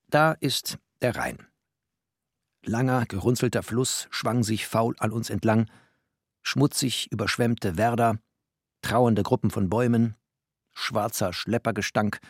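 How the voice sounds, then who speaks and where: A man's voice: fairly low pitch, takes it slowly, pauses often, breathy edge, dry recording, studio quality. A man narrating a literary audio drama in a wry, descriptive way.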